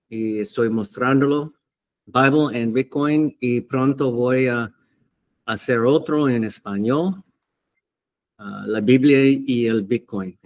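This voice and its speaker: Very slow, halting man teaching the Bible. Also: medium pitch. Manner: hesitant, halting, many fillers and restarts. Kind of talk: unscripted Bible teaching to camera.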